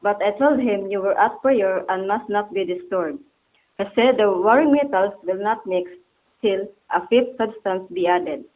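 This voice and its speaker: A woman's voice: mid-range voice, unhurried, phone-quality sound, close to an everyday voice. A woman playing a loyal, worried servant who speaks formally and deferentially in a stage drama. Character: loyal, worried servant bearing messages to the master. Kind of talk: amateur stage-play dialogue, formal archaic English.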